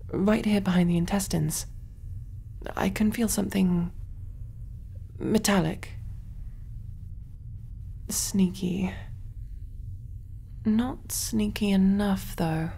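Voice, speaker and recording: Soft, mellow alien surgeon played by a woman. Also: mid-range voice, full character voice. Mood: intent, pleased. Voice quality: soft, breathy, often whispery. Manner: gentle, reassuring, musing aloud while working. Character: kind, motherly female alien surgeon rescuing a human. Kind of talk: soft-spoken ASMR roleplay.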